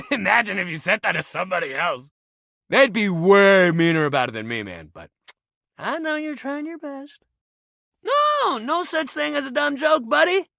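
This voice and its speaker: A man's voice: very high-pitched, vocal fry, sharp tone, no echo, studio quality, full character voice. A man voicing a video game character, a living shuttlecock who is an upbeat, laid-back young sports coach with slangy, encouraging, bro-ish talk.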